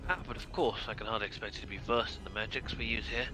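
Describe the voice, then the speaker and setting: Low-voiced, earnest fantasy warder read by a man. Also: fairly even intonation, music in the background, close to an everyday voice, low-quality recording. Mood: explaining, earnest. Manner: formal, measured. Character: dutiful, earnest male warder of a magical prison. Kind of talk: live read of video game dialogue.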